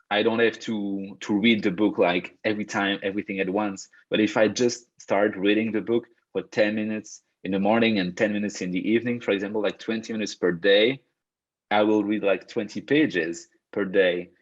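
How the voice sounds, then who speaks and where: Young Belgian man, French accent, deep voice, hesitant coach on a dull-sounding call. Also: fairly even intonation, normal speed. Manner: hesitant, many fillers and restarts. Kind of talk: hosting a video-call book club. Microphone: video call. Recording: dull lo-fi video call audio.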